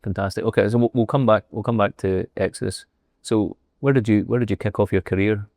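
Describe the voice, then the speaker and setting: Man, podcast host, lowish voice, dry close sound, short prompting questions. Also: steady tone, brisk, darkish tone, no echo. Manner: brief prompting questions and encouraging interjections. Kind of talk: casual interview conversation.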